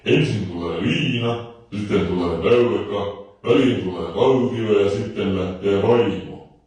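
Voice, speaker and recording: An actor playing a crude, bitter dance-band singer in a video game, telling deadpan, sardonic jokes through a reverberant stage microphone. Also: male voice, fairly low pitch. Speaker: dance pavilion lead singer. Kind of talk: stage banter with deadpan one-liner jokes. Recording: heavy microphone reverb, distant from mic.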